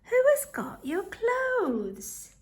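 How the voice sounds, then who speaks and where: A woman's voice: very high-pitched, wildly swooping pitch, drawn-out words, pitched above the performer's own voice, heavily acted. A woman voicing a confident, bossy little boy rabbit in a storybook, speaking emphatically. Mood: concerned, hushed.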